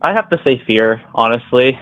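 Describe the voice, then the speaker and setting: A man calling into a livestream, relaxed and easygoing, and a bit giggly. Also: mid-range voice, moderate pace, faint music behind. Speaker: caller. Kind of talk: casual call-in chat. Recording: dull, dry call audio.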